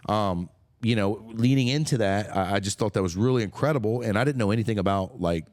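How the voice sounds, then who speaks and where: A man's voice: fairly low pitch, quick pace, darkish tone, no echo, clean studio sound. A middle-aged man hosting an interview podcast, chatting enthusiastically and rambling a little, with the odd casual swear. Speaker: podcast host.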